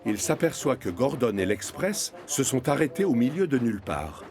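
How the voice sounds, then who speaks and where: A man's voice: medium pitch, very animated, normal speed, music in the background. A man narrating a scripted children's cartoon story as the storyteller.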